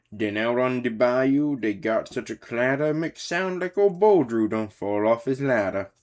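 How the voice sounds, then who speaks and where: A man's voice: mid-range voice, a little mellow. Folksy older Cajun man, dramatic storybook narrator in rhyming verse, acted by a male reader. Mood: storytelling. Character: folksy old Cajun man telling a Christmas tale.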